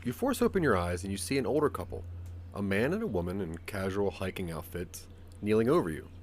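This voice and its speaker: Male narrator for interactive audio drama, dramatic storyteller, lower register, animated delivery. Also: fairly quick, slight background noise. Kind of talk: scripted second-person audio drama narration.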